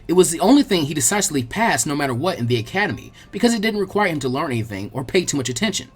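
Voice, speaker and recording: A man reading a story aloud as an expressive, colloquial audiobook narrator, with a husky voice. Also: on the high side, rapid-fire, soft background music. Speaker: fan fiction audiobook narrator.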